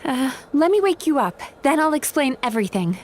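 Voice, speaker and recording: A woman voicing a gentle young dream-eating spirit in a video game, who works as a dream therapist and talks in a soft, polite, soothing way. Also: sits high, very animated, a little fast, a little hiss or hum. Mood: friendly.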